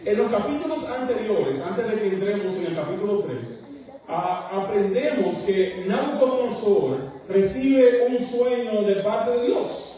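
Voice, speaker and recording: A man with a Dominican accent preaching a church sermon as a pastor, halting and correcting himself, then pressing his points. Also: sits high, lively intonation, mellow. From Dominican Republic, partly raised in Queens, New York. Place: church sanctuary, speaking to congregation. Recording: very echoey large hall, distant microphone.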